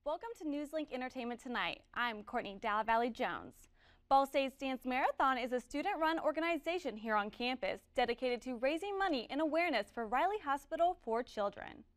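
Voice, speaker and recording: A young woman, a student news anchor and reporter, reading scripted news in a crisp, even newscaster delivery. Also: high voice, brisk, no room sound. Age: young adult.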